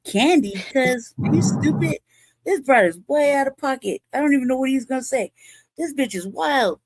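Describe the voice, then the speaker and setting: A woman's voice: sits high, animated, normal speed, no room sound, low-quality recording. A woman hosting a show, talking in blunt, profane, rambling commentary and laughing often. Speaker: show host.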